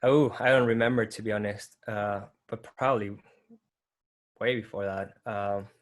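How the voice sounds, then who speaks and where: A man's voice: lowish voice, steady tone, normal speed. A man explaining ideas casually in a conversation, with loose phrasing and fillers.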